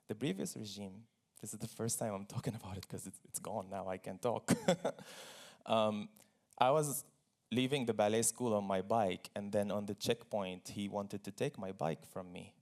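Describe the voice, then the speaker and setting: A man's voice: fairly low pitch, moderate pace, gravelly, a little mellow. A man from Syria speaking on stage as a guest at a forum, telling his personal story earnestly, with small laughs and repeated phrases.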